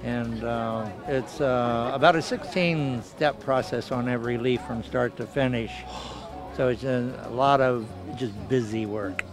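A man's voice: medium pitch, measured pace, over music. Matter-of-fact middle-aged metal artist, man. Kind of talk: casual interview answers.

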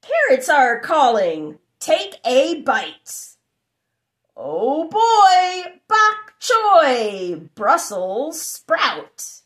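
A young adult woman reading aloud for children in an exuberant, sing-song chanting way with drawn-out words. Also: high voice, wildly swooping pitch, fairly bright tone. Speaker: host of a children's read-aloud channel. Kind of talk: animated children's picture-book read-aloud. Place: small furnished room indoors.

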